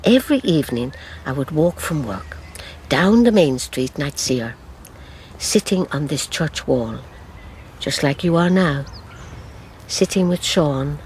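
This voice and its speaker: A woman's voice: low-pitched, expressive, a little slow, a little mellow. An actress playing a wistful older grandmother recalling her sister, in a radio drama with background noise.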